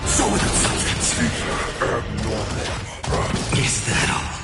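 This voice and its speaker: An actor voicing a furious warrior in a video game, shouting declamatory battle cries. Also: normal speed, lightly acted. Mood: battle fury. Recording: loud music behind. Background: loud game music.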